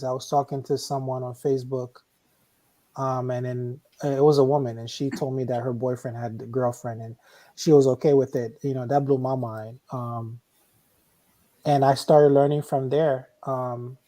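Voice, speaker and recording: A man's voice: medium pitch, warm tone. A man born in Haiti and raised in Miami, a guest speaking steadily, sometimes repeating words as he thinks. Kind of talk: casual panel chat.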